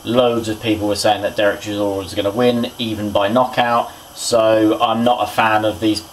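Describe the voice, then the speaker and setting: A man's voice: on the low side, flat delivery, moderate pace, slight background noise, low-quality recording. A man, chatting casually about boxing while cooking in his small kitchen, rambling and muttering to himself, recorded on a camera's built-in mic. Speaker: boxing vlogger. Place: small home kitchen indoors.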